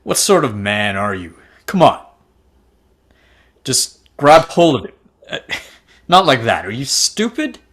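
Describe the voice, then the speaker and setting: A man's voice: medium pitch, sing-song, slow, pauses often, a bit bright, exaggerated voice. A man playing an angry, bullying husband in an amateur drama read over a video call. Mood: angry, demanding.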